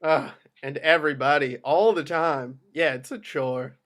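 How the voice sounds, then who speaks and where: A man's voice: a little high, unhurried, fairly bright tone. A man playing a defensive, evasive casino security supervisor in an amateur script read.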